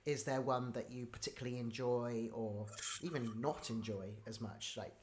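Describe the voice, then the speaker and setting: A man's voice: mid-range voice, steady tone, moderate pace, a little hiss or hum. A man hosting an interview in a casual, chatty way, using loose, informal phrasing. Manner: informal, peppers talk with "like". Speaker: interviewer, host. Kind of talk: casual chat.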